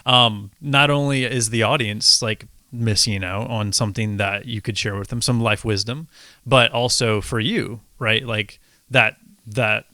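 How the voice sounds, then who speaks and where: A man co-hosting a podcast in casual chat, earnest and halting as he thinks aloud. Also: fairly low pitch, steady tone, bright, forceful tone, dry recording, clean studio sound. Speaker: podcast co-host.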